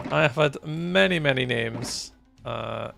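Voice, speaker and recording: A man's voice: mid-range voice, gravelly. A Scottish man in his forties chatting casually and good-humouredly with viewers while he plays a game. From Scotland. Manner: rambling and good-humoured, with frequent ums and chuckles. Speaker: gaming livestreamer. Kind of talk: casual chat with live viewers while gaming.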